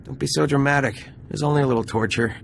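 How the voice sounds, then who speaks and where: A man's voice: medium pitch, fairly even intonation, fast, creaky, slight background noise, close to an everyday voice. A male actor playing a cocky, grumbling adventurer in a sci-fi video game, with a dry, sarcastic delivery. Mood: wry. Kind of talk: video game cutscene acting.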